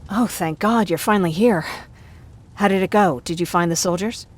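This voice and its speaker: An actress playing a warm, joking young survivor in a video game, chatty and quick to apologise for herself. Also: female voice, lowish voice, slight background noise, natural voice. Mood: relieved, teasing. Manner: chatty, self-deprecating, apologetic. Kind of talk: video game dialogue acting.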